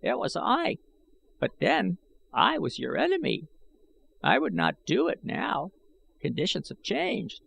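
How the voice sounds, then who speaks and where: High-pitched, excitable old man. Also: unhurried, gravelly, pauses often, slightly rough, full character voice. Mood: earnest, conciliatory. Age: older. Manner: excitable, archaic, pleading speech. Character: bent, wrinkled old man, eager, admiring, crafty. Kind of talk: audiobook character voice.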